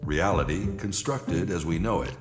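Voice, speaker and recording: An actor playing a theatrical narrator who reads the stage directions of an audio play in a deliberate way. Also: male voice, sits low, lightly acted. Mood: measured. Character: smooth male narrator reading stage directions. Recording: echoey, loud guitar music behind.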